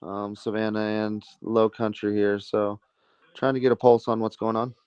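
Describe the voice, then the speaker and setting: A laid-back man co-hosts a casual sports chat, his voice smooth and resonant. The sound comes through dry and a bit muffled. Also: fairly low pitch, flat delivery, moderate pace, a little mellow, no room sound. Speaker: co-host.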